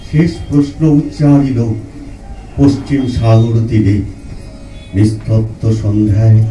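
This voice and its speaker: Guy acting a dying old poet, very dark mellow voice, halting n tender, radio play style, echoey with music under. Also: mid-range voice, lightly acted. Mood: solemn, reciting. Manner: slow, halting, repeats words, poetic. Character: dying old poet, tender, anguished, questioning his sister-in-law. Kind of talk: Bengali audio drama, dramatic reading. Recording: roomy echo, distant mic, music underneath.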